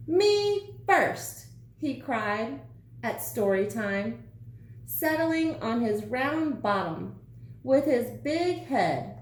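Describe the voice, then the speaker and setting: A woman teacher reading a children's story aloud with deliberate, emphatic phrasing. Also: fairly high pitch, lively intonation. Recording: roomy echo, some background noise.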